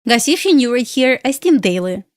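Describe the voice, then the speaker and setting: A woman's voice: on the high side, very animated, fairly quick, no room sound, clean studio sound. Bubbly woman. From Belarus. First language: Russian. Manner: bubbly and giggly, with loose non-native grammar. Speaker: futures day trader streaming live. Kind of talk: casual live stream chat.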